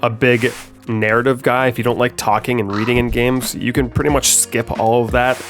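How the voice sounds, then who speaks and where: A man's voice: mid-range voice, normal speed. Game dev doing his own play-through commentary; nasal from a cold, easygoing, lots of filler words, mid-range voice, light music bed. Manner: relaxed, explanatory, many ums and uhs. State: stuffed up from a head cold. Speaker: game developer. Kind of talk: gameplay commentary.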